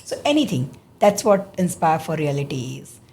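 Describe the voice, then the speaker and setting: A woman's voice: deep voice, big pitch swings, normal speed, darkish tone, a little hiss or hum. A woman hosting an interview podcast, speaking earnestly and often restarting her phrases. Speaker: podcast host. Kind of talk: interview chat.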